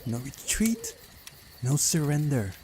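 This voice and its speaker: A man's voice: medium pitch, very animated, slow, background noise, pitched above the performer's own voice. A man voicing a small, impish girl in a visual novel, bossy and eager, rattling off commands. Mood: commanding.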